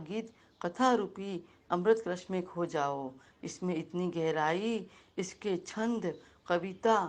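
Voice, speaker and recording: A woman giving a recited speech in praise of a language, slow and earnest. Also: fairly low pitch, darkish tone. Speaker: speaker at a Hindi day event.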